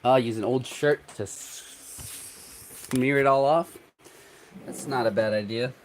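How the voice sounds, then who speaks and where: A congested man hosting a livestream, chatting in a relaxed, rambling way while he works, chuckling often. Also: mid-range voice, unhurried, slight background noise, poor audio. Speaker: 3D printing livestream host. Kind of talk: casual livestream talk while working.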